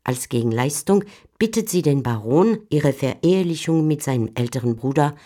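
A woman's voice: deep voice, animated, moderate pace, dark tone. Female narrator of a literary radio play.

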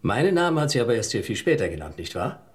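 An actor in a German radio drama playing a determined, controlled man suspected of murder, questioning tersely in a husky, gravelly voice. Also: mid-range voice, sing-song, fast talker. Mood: pressing, menacing.

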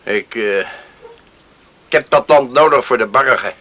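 A stubborn, pious old-fashioned farmer in a radio play. He speaks curtly in a sharp, mid-pitched male voice, stiff with pride and slow to give in. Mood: stubborn, proud. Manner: curt, grudging, stiff. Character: proud, stubborn, pious farmer, a grown daughter's father. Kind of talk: Dutch radio play acting.

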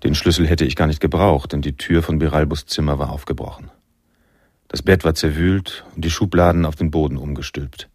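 A man's voice: very deep voice, a little mellow, no room sound, studio quality. An actor playing a reflective, world-weary male narrator in a noir radio drama, telling the story in literary prose.

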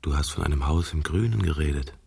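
A deep, gravelly man in a radio drama. He is stubborn and world-weary, musing drily and contradicting. The sound is close and dry, without room. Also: quick pace, warm tone, dry recording, exaggerated voice. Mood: resigned. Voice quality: gravelly, husky. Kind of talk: radio play dialogue.

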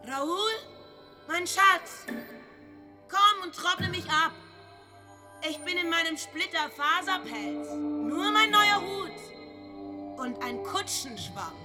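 An actress playing a flirtatious, pampered woman in a German radio drama, cooing in a theatrical, drawn-out way. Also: extremely high pitch, lively intonation, music in the background, heavily acted. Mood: seductive.

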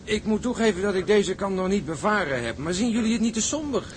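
A man's voice: high-pitched, animated, fast talker, a little hiss or hum. An actor playing a light-hearted, optimistic and teasing tugboat crewman in a seafaring audio drama, speaking expressively. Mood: questioning, teasing. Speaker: actor and narrator in a radio drama. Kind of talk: Dutch audio drama acting.

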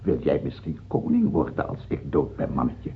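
A man's voice: extremely low pitch, wildly swooping pitch, moderate pace, exaggerated voice. An actor the playing an ailing, fearful and tyrannical king of France in a radio drama, volatile, moving between brooding murmurs and barked scorn. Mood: scornful, needling. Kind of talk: theatrical radio drama acting. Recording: dull, lo-fi old radio recording.

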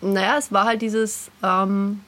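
A woman co-hosting a podcast, chatting casually and nostalgically in a slightly husky voice. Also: medium pitch, level tone, slow, fairly bright tone. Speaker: podcast co-host.

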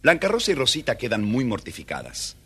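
A man's voice: mid-range voice, huge pitch swings, fairly quick, fairly bright tone, breathy edge. A man narrating a scripted children's story as the storyteller. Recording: faintly noisy old storybook record.

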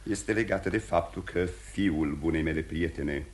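An actor playing a tactful, reserved prince in a radio play, speaking in a measured, formal and polite way. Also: male voice, deep voice, natural voice. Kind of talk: mid-century Romanian radio theatre acting.

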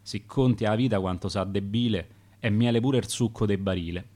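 A man's voice: on the low side, brisk, dark tone, pitched below the performer's own voice, natural voice. A man reading the verse of a dead tavern keeper recalling his customers, in a Roman accent. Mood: wistful. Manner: reciting dialect verse in rhyme. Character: dead old tavern keeper, wistful storyteller.

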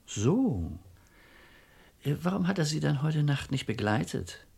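An actor playing a wary, easily exasperated police commissioner who questions in a guarded official way in a radio crime drama. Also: male voice, a little high, very animated, measured pace, creaky, darkish tone, husky, full character voice.

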